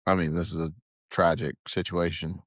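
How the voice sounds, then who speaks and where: A man co-hosting a casual sports podcast, easygoing and a little halting in his speech. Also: deep voice, level tone, no room sound, clean studio sound. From Arkansas. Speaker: podcast co-host.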